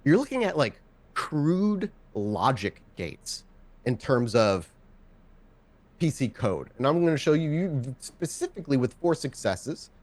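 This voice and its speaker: A man working as game master, narrating a tabletop game off the cuff and often pausing to search for words. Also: medium pitch, big pitch swings, darkish tone.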